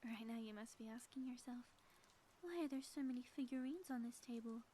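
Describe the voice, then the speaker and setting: A woman voicing a theatrical, gloating female supervillain in an audio roleplay, teasing and grandiose with dramatic pauses. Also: fairly high pitch, mellow, a little hiss or hum, natural voice. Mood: smug, theatrical. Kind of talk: scripted audio roleplay, ASMR.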